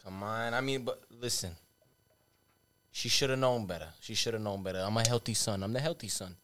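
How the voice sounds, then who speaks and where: A man voicing a gruff, domineering Italian-American father and cousin in a comedy skit, scolding and swearing. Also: fairly low pitch, fairly quick, a bit bright, a little hiss or hum, pitched below the performer's own voice, lightly acted. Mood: defensive. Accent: put-on Italian-American.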